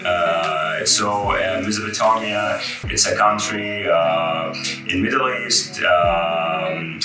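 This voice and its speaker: A young man from Iraq with Arabic as his first language talks in a low, relaxed voice, full of fillers and restarts. His voice carries a roomy echo, and guitar music plays clearly behind him. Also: slow pace. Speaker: guest. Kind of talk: casual interview chat.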